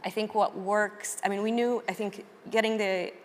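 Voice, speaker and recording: A woman's voice: mid-range voice, steady tone, moderate pace. An American woman journalist speaking conversationally on a panel, hedging and thinking aloud as she goes. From United States. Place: live panel event in a hall. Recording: echoey hall, faint background noise.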